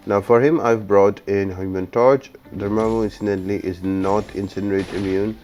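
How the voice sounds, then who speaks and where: A man with a low-pitched voice and a dark, relaxed tone gives a video game guide. He explains things step by step in an instructional way, with faint music playing behind him. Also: moderate pace. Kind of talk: scripted-sounding game walkthrough commentary.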